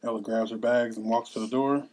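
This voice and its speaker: Medium-pitched stage directions reader, noisy recording. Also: fairly even intonation, fairly quick, darkish tone. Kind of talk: scripted narration at a table read.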